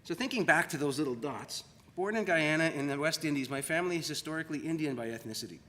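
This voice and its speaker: A middle-aged man with a Canadian accent giving a public academic lecture in a reflective, deliberate manner, repeating phrases for effect. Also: on the high side, expressive, fairly bright tone. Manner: reflective, rhetorical questions and deliberate repetition. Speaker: university lecturer in media studies. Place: large room at a university colloquium. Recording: echoey hall with long reverb.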